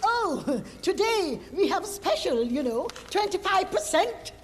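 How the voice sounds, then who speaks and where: An older woman of about seventy speaks Jamaican Patois in a high, lively voice that swings up and down. She chats and laughs as she tells her story. The clip has long reverb and faint background noise. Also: unhurried, soft background music. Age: 70s. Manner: laughing, animated, chatty.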